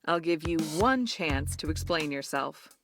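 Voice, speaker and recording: A woman's voice: deep voice, expressive, a little slow, fairly bright tone, a little hiss or hum, heavily acted. A woman playing a playful, teasing young bar worker in an amateur game fandub. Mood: relaxed, playful. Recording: music behind the voice.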